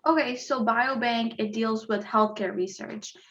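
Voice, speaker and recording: A woman's voice: on the high side, steady tone, a little slow, a bit bright, dull audio. A woman hosting an interview, asking questions and giving short acknowledgments. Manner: short back-channel replies. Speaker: host, interviewer.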